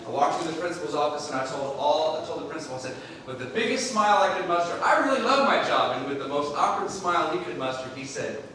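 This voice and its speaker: A man teacher with a loud, boisterous voice, telling a story to an audience at a school ceremony. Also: on the high side, animated, fast. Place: church hall. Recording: echoey hall, far from the mic, noise.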